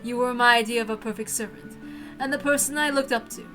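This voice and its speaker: A woman's voice: a little high, steady tone, quick pace, fairly bright tone, close to an everyday voice. A woman voicing a proud, gracious witch hostess in a visual novel reading, speaking in formal, stately phrases over music. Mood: warm, proud. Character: elegant, gracious witch hostess, grandly proud yet innocently friendly.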